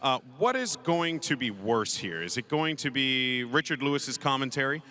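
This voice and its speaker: A man interviewing a player on stage before a match, excitable and hyping things up. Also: mid-range voice, normal speed. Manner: excitable, laughing hype. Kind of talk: hyped pre-match interview.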